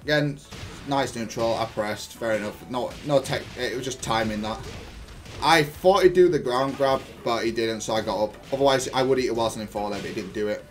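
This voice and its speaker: A man talks through fighting game play at a medium pitch. He explains his choices as a player, thinking aloud in dense gaming jargon. Light music plays behind his voice. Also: a little fast. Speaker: gaming YouTuber and player analysing own match. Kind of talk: gameplay commentary.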